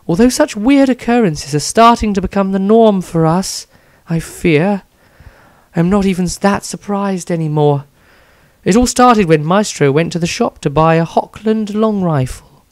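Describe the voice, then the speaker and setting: A man voicing an earnest, pious, compassionate woman diarist in a fantasy story, measured and proper. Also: high voice, lively intonation, darkish tone, no echo, voice pushed up. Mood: concerned, reflective.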